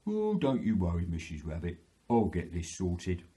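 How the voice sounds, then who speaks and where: A man's voice: low voice, wildly swooping pitch, normal speed, very noisy, pitched below the performer's own voice. A man voicing a protective, swaggering bear who talks bluntly and confidently in a children's story. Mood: reassuring. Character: blustering, protective male bear, confident and threatening.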